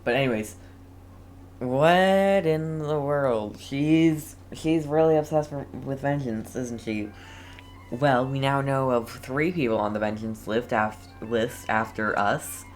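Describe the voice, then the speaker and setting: A woman's voice: very deep voice, slow, soft background music. A woman giving casual commentary as she plays through a game's story. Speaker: gamer playing through story quests.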